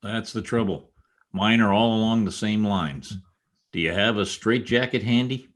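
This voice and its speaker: A man's voice: fairly low pitch, moderate pace, lightly acted. A man playing an exasperated, wisecracking newspaper reporter in an old-time radio comedy. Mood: wry, softening. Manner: wisecracking, slangy, indignant.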